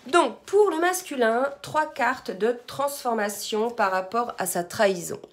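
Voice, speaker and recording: A hoarse woman giving a spiritual card reading to her viewers, soft-spoken, sometimes murmuring requests to her guides. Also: on the high side, animated, unhurried. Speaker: tarot card reader.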